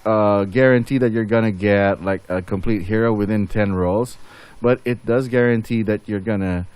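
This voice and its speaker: A man's voice: fairly low pitch, fairly even intonation, moderate pace, darkish tone, clear, resonant tone, no room sound. A man giving casual game update commentary as a channel host, rambling and thinking aloud as he goes.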